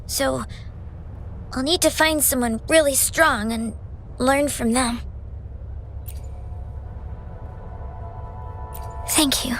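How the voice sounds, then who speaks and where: High-pitched earnest little girl. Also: a bit bright, slight background noise, exaggerated voice. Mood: hopeful, determined. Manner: halting, earnest childlike speech. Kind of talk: anime game voice acting.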